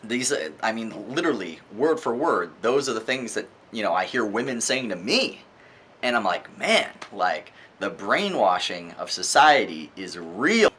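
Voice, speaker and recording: A man's voice: on the low side, very animated, a little slow, a bit bright, slight background noise. A man giving an informal, opinionated book review monologue in a conversational, emphatic way, repeating words for effect.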